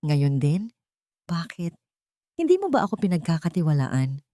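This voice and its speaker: A woman's voice: low-pitched, very animated, moderate pace, dark tone, dry recording, clean studio sound. A woman voicing a tearful, scheming wife who pleads, in an audio drama. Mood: tearful pleading.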